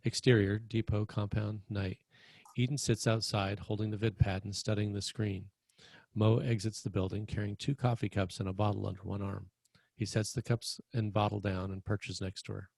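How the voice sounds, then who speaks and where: A man with a lowish voice reads screenplay action as scripted narration. The sound is close and dry, with stage directions delivered as a narrator at a table read. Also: little pitch movement, normal speed, gravelly, darkish tone, dry recording.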